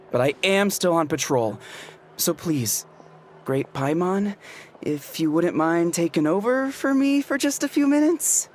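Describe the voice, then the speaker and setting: An actor voicing an excitable young warrior in a video game, bashful yet bold, earnest and gushing. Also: mid-range voice, a little slow, slight background noise, lightly acted. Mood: sheepish. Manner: stammering when flustered, gushing, earnest. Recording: music behind the voice.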